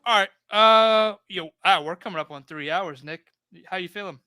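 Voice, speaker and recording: Bright clear-voiced man in his thirties. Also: high voice, normal speed, no room sound, clean studio sound. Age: 30s. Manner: laid-back, rambling, reads chat aloud. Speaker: host of a diorama livestream. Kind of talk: casual livestream chat.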